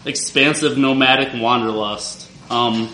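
A man telling a personal story to a live audience in a wry, confessional way, speaking loudly without the microphone. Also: mid-range voice, fairly even intonation, unhurried, a little hiss or hum. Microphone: not using the microphone. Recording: roomy live venue sound.

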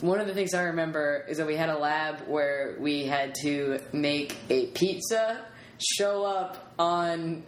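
A woman with a slightly low voice chats as a podcast host, informal and self-deprecating. She sounds like she is in a roomy, echoey space with faint noise behind her. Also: a little slow. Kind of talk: casual conversation and anecdotes.

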